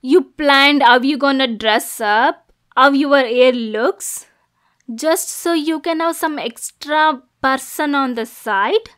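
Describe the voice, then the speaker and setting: Casting: woman to play jealous yandere girlfriend for ASMR roleplay; high voice, slow delivery, menacing yet cooing, possessive. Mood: furious, accusing. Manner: swings between soft cooing and angry, degrading outbursts. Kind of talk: ASMR roleplay, dramatic monologue.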